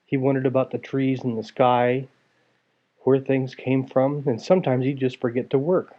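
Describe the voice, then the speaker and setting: A man's voice: mid-range voice, fairly even intonation, moderate pace, mellow. A man reading a story aloud to a child, expressively, with casual asides. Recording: dull lo-fi home recording.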